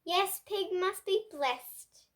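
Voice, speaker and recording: A young girl reading a picture book aloud as host, with expressive, dramatic storybook phrasing. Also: very high voice. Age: child. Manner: slow, deliberate, dramatic phrasing.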